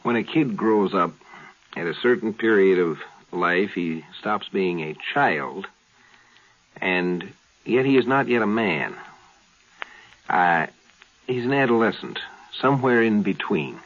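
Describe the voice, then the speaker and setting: A narrow, phone-like old radio recording of a man with a fairly low voice. He explains things like a lecturer, in halting, deliberate phrases. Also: lively intonation, a bit bright.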